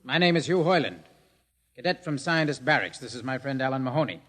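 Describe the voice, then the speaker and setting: A man's voice: medium pitch, animated, fairly quick, a bit bright. An actor playing an earnest, respectful young male cadet in a 1950s radio drama. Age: young adult.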